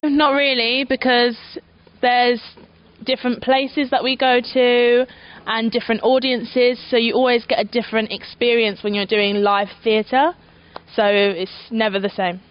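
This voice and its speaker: A woman, a stage actor, answering audience questions in a clear, thoughtful way. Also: on the high side, little pitch movement, unhurried. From London, England. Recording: dull lo-fi sound.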